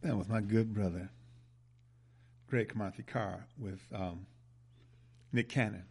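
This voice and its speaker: A man hosting a talk show, giving closing remarks in a halting way and repeating words as he starts over. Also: on the low side, steady tone, vocal fry, background noise. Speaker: radio show host.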